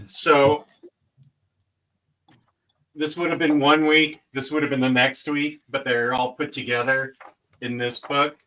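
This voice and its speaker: A man on a comics podcast panel, slowly walking through a book's contents with enthusiasm and frequent fillers. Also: mid-range voice, steady tone, bright, forceful tone, rough recording. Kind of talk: casual chat, listing book contents.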